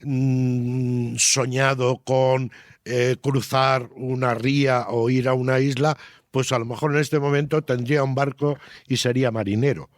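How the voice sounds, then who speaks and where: A man's voice: medium pitch, little pitch movement, measured pace, no echo, clean studio sound. An older man with a Castilian Spanish accent answers interview questions as a children's author, explaining things conversationally with frequent tag questions.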